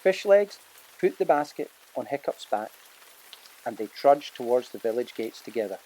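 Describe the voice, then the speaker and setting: A man narrating an audiobook in a dramatic storytelling manner, pausing for emphasis. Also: medium pitch, big pitch swings, warm tone, slight background noise, poor audio.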